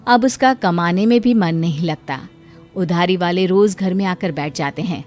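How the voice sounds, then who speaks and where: A woman's voice: on the low side, moderate pace, a little mellow. A woman narrating a scripted emotional moral story as a storyteller. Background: background music.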